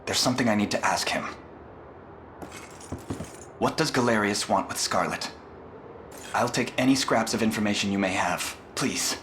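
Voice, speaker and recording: An actor playing an earnest, steady fantasy leader in a video game, speaking formally and courteously. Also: male voice, lowish voice, moderate pace, pauses often, slight background noise, close to an everyday voice. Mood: earnest, measured.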